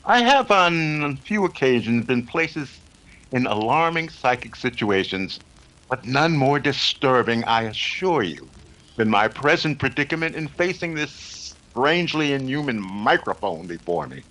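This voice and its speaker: Courtly, animated learned male actor as psychic investigator. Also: medium pitch, a little slow, low-quality recording. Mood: courteous, formal. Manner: formal, erudite, hesitant. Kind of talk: 1930s radio drama acting.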